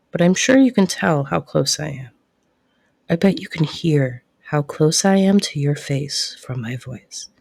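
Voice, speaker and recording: A young woman playing a caring, protective best friend secretly in love, in soft, intimate roleplay audio, with a husky, breathy voice and a teasing, reassuring way of talking. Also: deep voice, exaggerated voice. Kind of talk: soft-spoken roleplay audio acting addressed to listener.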